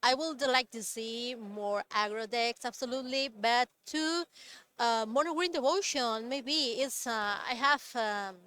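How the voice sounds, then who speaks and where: A woman co-hosting a live stream, speaking haltingly and searching for her words. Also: fairly high pitch, sharp tone, clear, resonant tone. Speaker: event co-host. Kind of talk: casual live stream chat.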